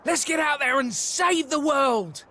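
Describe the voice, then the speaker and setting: A male actor playing an excitable, eager henchman in a video game, exclaiming as he talks. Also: very high-pitched, a little slow, full character voice. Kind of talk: video game cutscene voice acting.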